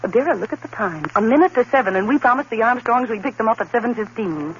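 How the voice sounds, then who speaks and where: A woman's voice: fairly high pitch, lively intonation, fast talker, natural voice. A woman playing an anxious, devoted sweetheart, solicitous and pleading, in a 1950s broadcast drama on an old recording. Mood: concerned, soothing. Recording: muffled, noisy early 1950s broadcast recording.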